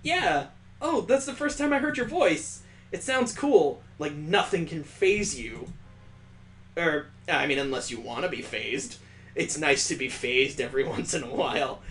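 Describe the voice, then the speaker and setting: A man voicing an awkward, nervous young man from a visual novel, babbling and stammering haltingly. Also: fairly high pitch, animated, fairly bright tone, slight background noise. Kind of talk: visual novel character reading. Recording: echoey, roomy sound.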